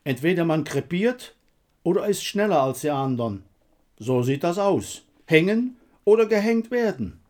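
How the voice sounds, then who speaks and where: A man's voice: a little high, very animated, moderate pace, a little mellow, voice pushed up. A man voicing a cynical, boastful soldier in a war story, needling with jeering questions. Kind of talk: dramatic literary war story reading.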